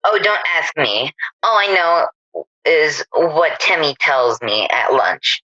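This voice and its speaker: A woman's voice: deep voice, very animated, slow, sharp tone, dry recording, highs cut off, heavily acted. A woman playing a breezy, gossipy housewife chatting with a friend in a radio play. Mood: breezy, chatty.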